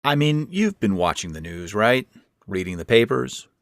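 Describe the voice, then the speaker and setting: A man's voice: on the low side, expressive, normal speed, a bit bright, dry recording, clean studio sound, pitched above the performer's own voice. A man playing a resentful, sarcastic adult stepson in a horror audio story, curt and defiant in manner. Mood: incredulous. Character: resentful, sarcastic grown stepson, a disgraced drifter.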